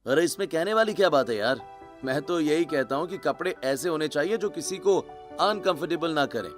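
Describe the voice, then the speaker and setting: A man's voice: a little high, expressive, brisk, faint music behind, close to an everyday voice. A man voicing a caring, easygoing young husband in cartoon story dialogue, casual and reassuring.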